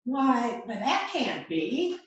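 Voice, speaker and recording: A woman's voice: fairly high pitch, very animated, slow pace, highs cut off. An older woman playing an anxious widow pleading her innocence in a radio mystery read-through. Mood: protesting. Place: indoor room on a video call. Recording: very echoey, noisy video call.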